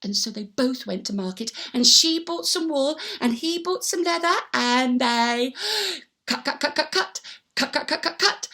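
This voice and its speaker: Female children's storyteller, high and slow in delivery, theatrical and animated. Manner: dramatic, with repeated sound words and hushed asides.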